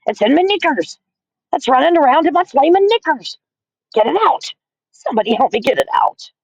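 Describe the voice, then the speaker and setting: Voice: high voice, sing-song, a little fast, gravelly, no echo, dull audio, pitched above the performer's own voice, heavily acted. A reader voicing a grown man, a kitchen cook, screaming in hysterical panic. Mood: panicked. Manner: shrieking, frantic.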